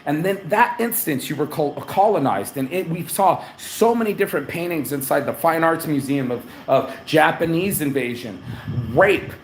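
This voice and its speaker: A man's voice: a little high, expressive, moderate pace, a little hiss or hum. A man from New Orleans giving a blunt, rambling, profane live travel update from a hotel room. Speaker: vlogger. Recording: echoey room with faint ambience.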